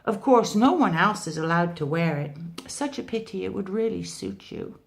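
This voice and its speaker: A woman voicing a small, quick-witted male mouse deer in a children's storybook, gabbling fast to talk his way out of trouble. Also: medium pitch, animated, vocal fry. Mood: coaxing. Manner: gabbling, clever, talks his way out. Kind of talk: storybook read-aloud.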